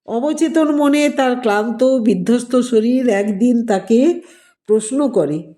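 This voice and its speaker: A woman reads a scripted family drama in a measured, deliberate storytelling manner. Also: a little high, mellow. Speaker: storyteller reading own written story.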